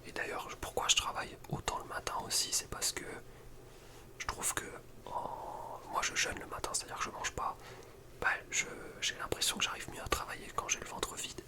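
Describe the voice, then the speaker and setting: A young man speaks entirely in a soft, breathy whisper. He chats informally in slangy, rambling phrases, in the manner of an ASMR video creator talking to viewers. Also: normal speed. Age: young adult. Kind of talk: whispered ASMR chat about personal routine.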